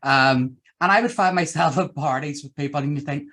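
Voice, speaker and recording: A man's voice: fairly high pitch, a little fast, pushed and bright. Effusive middle-aged Northern Irish man. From Northern Ireland. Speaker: interviewer, host. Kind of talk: casual conversational interview.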